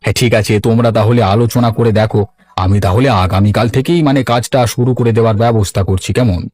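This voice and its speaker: A man voicing a kindly, authoritative village headman in a cartoon, speaking in a measured, patronly way. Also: mid-range voice, darkish tone, lightly acted. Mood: businesslike. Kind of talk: animated story voice acting.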